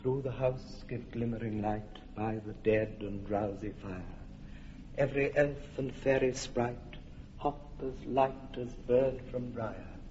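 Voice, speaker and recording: A man plays a calm fairy king who chants a blessing slowly. The sound is dull and old, with a long reverb and a faint hiss. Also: medium pitch, close to an everyday voice. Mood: solemn. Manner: slow, incantatory.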